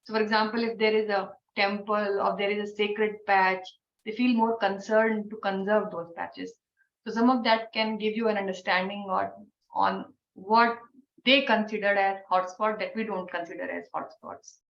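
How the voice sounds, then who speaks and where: A woman with an Indian English accent, hoarse from a cold, giving thoughtful advice in an online training Q&A with many fillers. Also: medium pitch, steady tone, moderate pace. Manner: thoughtful, advisory, frequent fillers. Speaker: invited expert speaker. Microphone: video call. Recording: dull, roomy online call audio with faint noise.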